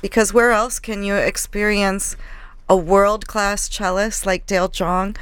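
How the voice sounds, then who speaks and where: A woman's voice: mid-range voice, level tone, measured pace, clean tone, no room sound. A woman guest from an arts organization speaking in a friendly, promotional way in a casual interview.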